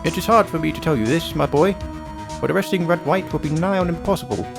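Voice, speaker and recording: A man's voice: a little high, fast talker, gravelly, music in the background. An actor playing a troubled, blustery old attorney in a video game, with an old-fashioned, grandfatherly way of talking. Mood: grave, resigned. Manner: blustering, old-fashioned, stammering when flustered. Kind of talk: dramatic video game character acting.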